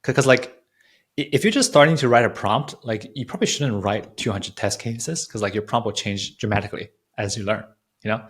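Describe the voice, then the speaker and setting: A man with a slightly low voice hosts a casual podcast chat. He talks quickly and informally, repeating words as he works his way into questions and comments. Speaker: podcast host, interviewer.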